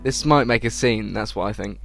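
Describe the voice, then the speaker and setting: A man's voice: fairly low pitch, brisk, vocal fry, fairly bright tone. A man hosting a let's play, reading visual novel text aloud informally, stumbling over words and adding casual reactions. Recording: game music behind the voice.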